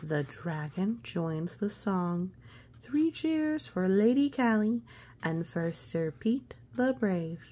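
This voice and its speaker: A woman reading a children's storybook aloud expressively, with gentle asides and hushed, suspenseful phrasing. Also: medium pitch, slow pace, a little hiss or hum. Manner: expressive, hushed suspense, often dropping to a whisper. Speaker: storybook reader.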